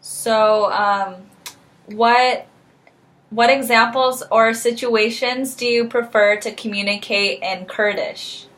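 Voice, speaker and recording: Female student interviewer, young, measured friendly delivery. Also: a little high, fairly even intonation, a bit bright. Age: young adult. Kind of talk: casual interview questions.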